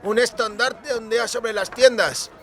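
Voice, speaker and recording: Audiobook role: boisterous drunk country lad, very high strained voice, bright and forceful, rustic speech. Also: male voice, fairly even intonation, measured pace, some chatter behind. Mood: eager, friendly. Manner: exclamatory, colloquial rustic speech with clipped words. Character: drunken, boisterous young cooper, rustic and friendly.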